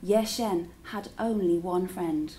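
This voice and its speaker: A woman's voice: lowish voice, slow, dark tone, a little hiss or hum. A woman teacher reading a story aloud to children in a dramatic, engaging way. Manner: dramatic, engaging listeners with questions.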